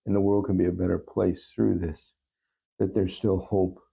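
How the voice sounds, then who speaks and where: A man speaking as a podcast guest in a reflective interview, gentle, reassuring and deliberate. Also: low-pitched, little pitch movement, vocal fry, slightly rough.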